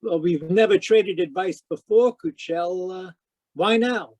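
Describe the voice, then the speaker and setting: A man playing a wisecracking private detective who narrates deadpan in 1940s radio drama style. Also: high-pitched, a little slow, no room sound. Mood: guarded. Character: wry wisecracking male private detective narrating his case. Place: live video-call performance, voice close and dry.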